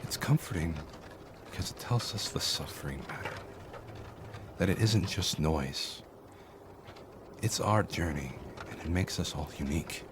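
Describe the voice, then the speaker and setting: Man acting a very deep-voiced, solemn fantasy mortal. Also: lively intonation, measured pace, pauses often, background noise. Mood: solemn, tender. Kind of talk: fantasy audio drama acting.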